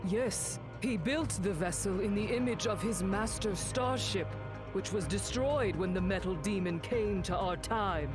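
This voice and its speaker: An actor playing an anxious, gentle alien scientist with formal speech in an animated video game. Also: male voice, sits high, unhurried, over music, poor audio. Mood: worried explaining.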